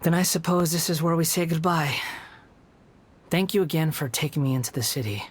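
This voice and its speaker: A man voicing a polite, reserved young drifter in a video game, courteous and soft-spoken. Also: fairly high pitch, a little fast, slight background noise, natural voice. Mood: grateful, reluctant. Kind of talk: video game character acting.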